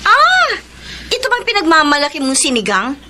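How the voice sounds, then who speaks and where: A woman's voice: high voice, huge pitch swings, normal speed, very noisy. An actress playing a bitter, jealous, defiant woman in a Filipino radio soap, with a Filipino accent and a sarcastic, combative, theatrical delivery. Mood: irritated, scornful.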